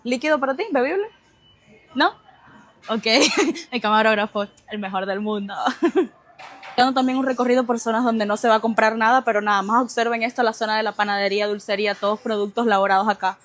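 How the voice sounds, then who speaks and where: Cuban lady doing a vlog, highish voice, chatty and expressive. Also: a little fast. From Cuba. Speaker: vlog host. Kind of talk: casual vlog chat to camera.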